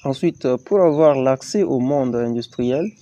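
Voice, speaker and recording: A young man from Chad, a high school student presenting his assignment, hesitant with fillers. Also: lively intonation, unhurried, mellow, lo-fi sound.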